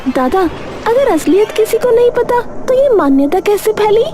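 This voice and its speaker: A woman's voice: extremely high pitch, expressive, moderate pace, over music. A woman voicing a curious, determined young girl in a cartoon story, speaking earnestly. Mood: curious, defiant. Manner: earnest, questioning storybook dialogue. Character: curious, determined young village-visiting girls.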